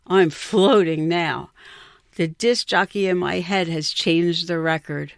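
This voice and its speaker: A woman giving an expressive scripted reading of a personal short story. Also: fairly low pitch, takes it slowly, smooth, dry recording, clean studio sound. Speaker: writer or reader of a short story.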